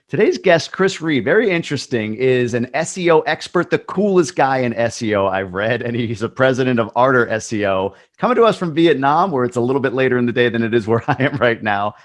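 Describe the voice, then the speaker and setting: Brief: male podcast host, enthusiastic, loose rambling questions, average pitch. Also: expressive, brisk, hardly any pauses. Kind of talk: interview hosting.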